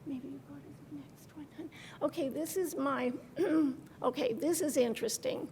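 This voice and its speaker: A woman's voice: high-pitched, moderate pace, a little mellow, big-room sound, a little hiss or hum. An older woman giving rambling, heartfelt public comment at a city meeting, speaking at a podium microphone. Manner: folksy and rambling, with homey terms like hon and love. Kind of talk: nervous testimony at a public meeting. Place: city council chamber.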